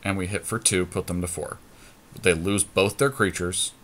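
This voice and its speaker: A man co-hosting a gaming podcast, talking casually and deliberately as he works out strategy aloud during a card game. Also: low voice, steady tone, fairly bright tone. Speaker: podcast co-host commentating on own card game play.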